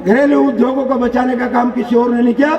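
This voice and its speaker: A man giving a loud, declamatory campaign speech as a politician, using repeated phrases and rhetorical questions. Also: very high voice, little pitch movement, quick pace, highs cut off, slight background noise. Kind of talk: political rally oratory. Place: large public political gathering. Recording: echoey PA at crowded rally, distant mic.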